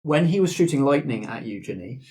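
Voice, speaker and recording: A man's voice: mid-range voice, lively intonation, fairly quick, a little mellow, rough recording. A man talking casually as game master and host in a group discussion of a roleplaying game.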